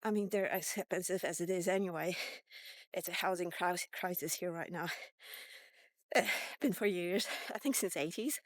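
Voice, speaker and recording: A woman's voice: fairly low pitch, moderate pace, no echo. A woman in her fifties giving a casual, blunt, sweary and rambling vlog rant. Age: 50s. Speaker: vlogger. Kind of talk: casual rant to camera while walking.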